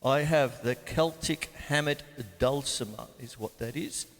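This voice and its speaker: A man's voice: mid-range voice, measured pace, long reverb tail. A man hosting a casual drum lesson to camera, chatty and rambling with jokey asides. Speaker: drum teacher and show host. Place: home studio with drum kit.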